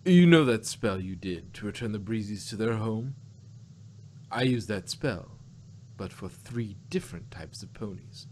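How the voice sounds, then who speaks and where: Ancient female pony ruler male reader, low-pitched, grave, measured, confessional storybook delivery. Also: expressive, a little mellow, slight background noise. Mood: grave, secretive. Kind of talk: amateur fan-fiction character reading.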